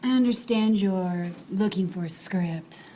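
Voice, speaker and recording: An actress playing a seductive, impatient femme fatale in a comic noir radio play, speaking in a sultry, teasing way. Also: female voice, medium pitch, unhurried, background noise, close to an everyday voice. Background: music.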